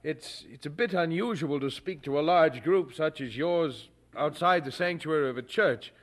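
An actor playing an earnest, polite young preacher who speaks formally in a radio drama. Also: male voice, on the high side, normal speed, lightly acted.

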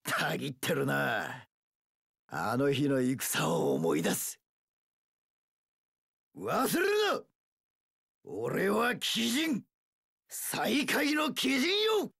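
Gravelly, brash pirate warlord. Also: male voice, a little high, sing-song, fairly bright tone, no room sound, studio quality, heavily acted. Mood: fierce, rousing. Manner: rough delinquent-boss speech, drawn-out battle cries. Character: brash, rough-talking young male pirate warlord, loyal gang boss. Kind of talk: video game character voice lines.

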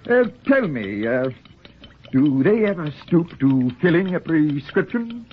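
An actor playing a pompous, fussy middle-aged gentleman in 1940s radio style, with a husky, exasperated, theatrical delivery. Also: fairly high pitch, big pitch swings, unhurried, slight background noise. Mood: jaunty, grand. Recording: muffled vintage 1940s radio broadcast.

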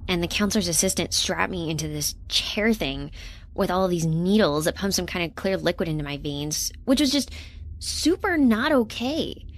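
A woman's voice: fairly low pitch, expressive, normal speed. An actress playing an earnest, literal-minded young woman researcher in a sci-fi audio drama, speaking conversationally and a bit hesitantly. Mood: uneasy. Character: earnest, awkward young woman researcher defecting from corporate ship. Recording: clear ambient noise behind.

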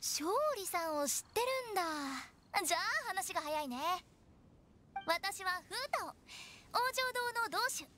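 An actress playing a playful, teasing young funeral director in an anime game, with theatrical, sing-song sales talk. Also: female voice, very high voice, expressive, a little slow, background noise. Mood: cheerful. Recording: clean studio voice over game music.

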